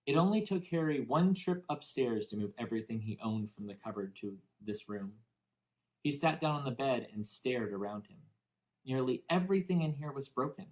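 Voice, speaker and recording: A man reading a children's novel aloud as expressive scripted narration. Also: mid-range voice, normal speed, poor audio. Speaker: audiobook reader for English learners.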